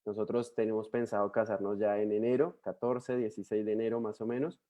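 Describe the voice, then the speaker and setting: Young Colombian man, mellow friendly voice. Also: fairly low pitch, fairly even intonation, fairly quick, muffled recording. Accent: Colombian Spanish. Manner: friendly, chatty, many fillers and restarts. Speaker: missionary guest speaker. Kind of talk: warm personal introduction to a church. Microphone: video call.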